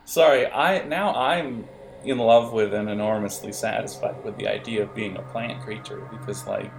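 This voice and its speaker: A man improvising the narration of a tabletop adventure as game master, in a halting, conversational way. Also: lowish voice, creaky, soft background music, rough recording.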